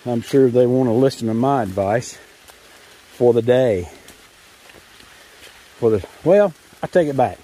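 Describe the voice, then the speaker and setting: A man talks at a very unhurried pace as he narrates a trail hike outdoors. His delivery is casual and halting, wandering from one thought to the next with a wry edge. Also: medium pitch, lively intonation, dark tone. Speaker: hiking vlogger. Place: outdoors on a forest trail in rain.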